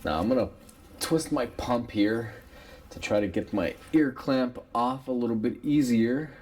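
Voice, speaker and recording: Raspy man with a cold, rambling. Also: medium pitch, a little slow. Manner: relaxed, rambling with many uh fillers. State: has a cold. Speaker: mechanic demonstrating a repair. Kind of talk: casual how-to tutorial narration.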